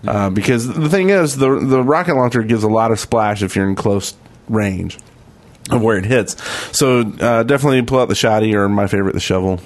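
A man's voice: on the low side, a little fast. Casual man bantering on a gaming podcast. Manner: joking, banters with co-hosts. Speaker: podcast co-host. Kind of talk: casual gaming podcast chat, reading listener emails.

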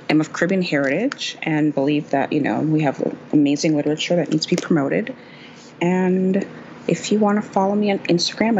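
A woman guest who grew up in Haiti gives a steady, prepared book recommendation. Her slightly low voice comes through a dull, lo-fi recording with a faint hiss underneath.

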